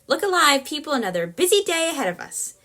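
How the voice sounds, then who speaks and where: A woman voicing an energetic, enthusiastic female news director who rambles briskly in a comedic audio drama. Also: high voice, voice pushed up, full character voice. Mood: booming, enthusiastic. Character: booming, energetic female lead news director, bossy but friendly. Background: music bed.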